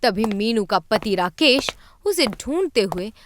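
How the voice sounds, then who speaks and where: A woman narrating a scripted animated horror story. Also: fairly high pitch, unhurried, slight background noise.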